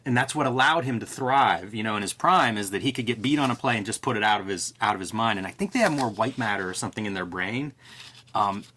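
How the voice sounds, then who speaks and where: A man's voice: medium pitch, sharp tone. A man co-hosting a discussion show, chatting casually and thinking through his points in an explanatory, reflective way. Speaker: co-host of a comic book discussion show. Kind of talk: casual analytical chat.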